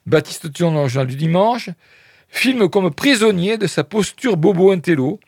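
Man, film critic, slightly high voice, husky, dry close sound, halting wry asides. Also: expressive, dry recording. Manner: hesitant, many fillers, wry dry asides. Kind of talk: film review, reading press quotes with asides.

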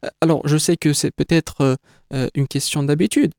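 A man's voice: fairly high pitch, steady tone, moderate pace, darkish tone, no room sound, clean studio sound. A man whose first language is Kabyle, an exhibition creator giving a radio interview, speaking hesitantly with many fillers and restarts. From North Africa (Kabyle).

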